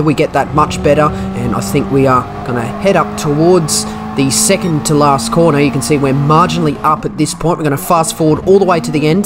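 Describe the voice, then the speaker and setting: A young Australian man commentating his racing game laps in a chatty, self-deprecating way, a little nasal from a cold, on a desk microphone with game sound underneath. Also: on the high side. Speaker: gaming commentator. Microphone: desk USB microphone.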